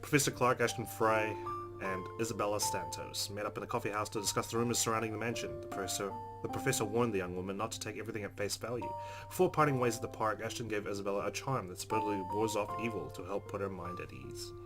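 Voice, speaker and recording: Male let's-play narrator, slightly low voice, expressive dramatic reading of game text with music behind. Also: fast.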